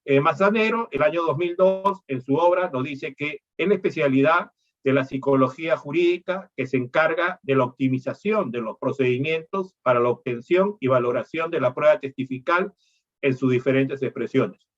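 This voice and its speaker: Guy giving a law lecture, kinda high voice, dull lo-fi sound, lots of "no?". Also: moderate pace. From Peru. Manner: explanatory, rhetorical questions with tag "¿no?".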